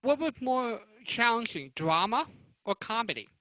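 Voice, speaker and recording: A man's voice: extremely high pitch, big pitch swings, moderate pace, bright, forceful tone, dry recording. A man moderating the panel, asking questions in searching, hesitant phrasing over a video call. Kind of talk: interview questions.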